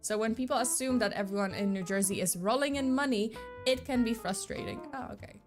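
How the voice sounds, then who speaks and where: A woman's voice: fairly high pitch, fairly quick, music in the background. A young woman with a slight mixed accent, not a native English speaker, rambling in a casual live-stream chat with lots of fillers. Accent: slight mixed non-native.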